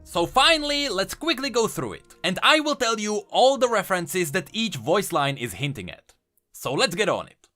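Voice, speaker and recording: A man's voice: high voice, sing-song, normal speed, sharp tone. A man giving enthusiastic, emphatic scripted commentary as the host of a video game lore channel. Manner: emphatic, explanatory. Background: music.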